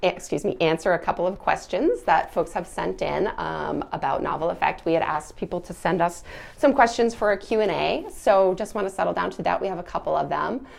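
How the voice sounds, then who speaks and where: Low-voiced woman with a creaky edge. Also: brisk, a little mellow. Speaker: host reading a children's book aloud and answering questions. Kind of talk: read-aloud storytelling and informal explanatory talk.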